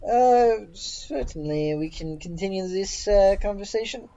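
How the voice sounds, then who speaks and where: Game master, mid-pitched, improvised tabletop narration, hesitant phrasing, muffled lo-fi audio. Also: animated, mellow. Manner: hesitant, halting delivery. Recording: dull, lo-fi voice recording.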